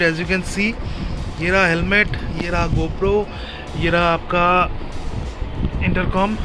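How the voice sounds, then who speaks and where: A man's voice: sits high, low-quality recording. A young man with an Indian accent giving a casual, informal product demo, mixing Hindi and English. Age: young adult. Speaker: motovlogger and gear shop owner. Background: music.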